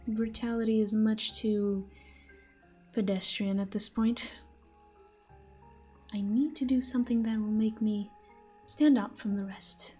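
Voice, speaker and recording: A woman's voice: mid-range voice, steady tone, measured pace, pauses often, warm tone, husky, soft background music. A woman playing a possessive, flirtatious fantasy villain in a roleplay audio drama, teasing and smug, speaking intimately to the listener. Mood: smug, threatening.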